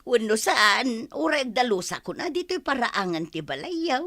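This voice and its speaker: An actress with an Ilocano Filipino accent playing a long-suffering, complaining wife in a radio comedy-drama, plaintive and full of exclamations. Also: female voice, fairly high pitch, big pitch swings, moderate pace, sharp tone, no room sound, heavily acted. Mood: pleading.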